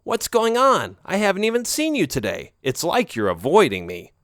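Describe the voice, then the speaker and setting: A man's voice: high voice, wildly swooping pitch, normal speed, fairly bright tone, no room sound, clean studio sound, voice pushed up, heavily acted. A man voicing a cheerful, chatty young married woman who is a caring friend in a read-aloud story.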